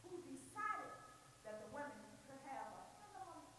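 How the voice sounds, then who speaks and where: A woman's voice: high-pitched, sing-song, moderate pace, noisy background. A woman in her sixties speaking from the audience at a church panel, giving an emphatic testimony that swells with fervor. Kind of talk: impassioned testimony. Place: large church hall. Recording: roomy hall from far off.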